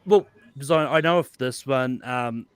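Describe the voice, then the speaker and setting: Halting man in a dry, close recording. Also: medium pitch, smooth, dry recording. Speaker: panelist. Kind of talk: casual movie chat.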